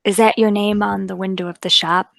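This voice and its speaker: A woman voicing a brisk, calm, businesslike police inspector in a radio drama. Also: mid-range voice, creaky, dry recording, studio quality. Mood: calm, official. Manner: businesslike, clipped.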